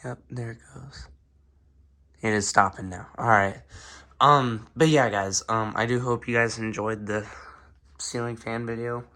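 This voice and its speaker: A man's voice: medium pitch, steady tone, unhurried, sharp tone. A man who has been sick and sounds a bit off, talking casually and hesitantly at home, full of ums and unsure asides. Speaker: ceiling fan video maker. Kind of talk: casual filmed show-and-tell. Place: home room indoors.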